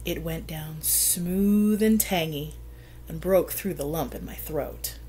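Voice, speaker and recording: A middle-aged woman reading a children's picture book aloud, with expressive, deliberate storytelling and drawn-out phrases. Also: low-pitched, a little hiss or hum. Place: small plain room indoors.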